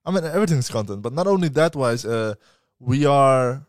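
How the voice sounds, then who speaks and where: A man's voice: medium pitch, moderate pace, dry recording. Young male podcast co-host, casual and plugging links, dry close mic. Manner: relaxed, laughing, promotional. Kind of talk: casual live-stream chat.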